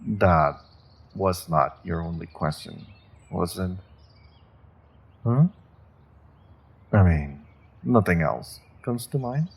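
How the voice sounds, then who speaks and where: Male actor as menacing sorcerer warlord, low husky voice, unhurried, mock-polite, gloating, fantasy audio drama. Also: full character voice. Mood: coolly polite. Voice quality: gravelly, husky. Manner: slow, deliberate, mock-polite, savoring threats with drawn-out pauses. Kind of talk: fantasy audio roleplay, speaking directly to a captive listener.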